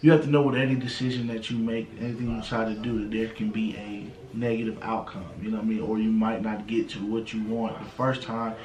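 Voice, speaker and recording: A man's voice: on the low side, flat delivery, fairly quick, hardly any pauses, a little mellow. An American man in his thirties giving casual motivational talk as an interview guest, peppered with street slang and repeated check-in phrases. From United States. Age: 30s. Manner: street slang, frequent "you know what I'm saying". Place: indoors in a house. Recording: roomy, echoing home interview.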